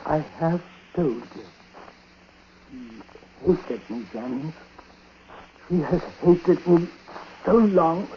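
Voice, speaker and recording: An actor playing a dying, bitter, superstitious old uncle, speaking gravely in broken phrases, in 1940s radio drama style. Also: male voice, fairly high pitch, lively intonation, drawn-out words, pauses often. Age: older. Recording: noisy, muffled 1940s radio recording.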